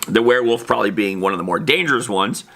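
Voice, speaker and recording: Low-pitched man, casual and rambling. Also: quick pace, a bit bright, slight background noise. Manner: rambling, informal, matter-of-fact. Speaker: host of a tabletop roleplaying lore channel. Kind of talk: casual unscripted explainer.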